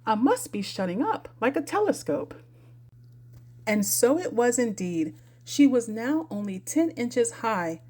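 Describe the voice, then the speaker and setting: Woman reading a kids classic audiobook, soft dark husky tone, really animated up and down delivery. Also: on the high side. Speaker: audiobook reader. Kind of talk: expressive children's storybook narration.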